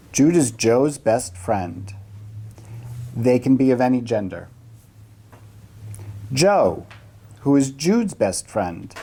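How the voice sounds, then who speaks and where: An event host introducing and narrating a play reading, with halting, deliberate phrasing. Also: mid-range voice, mellow, slightly rough. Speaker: event host and stage-direction reader.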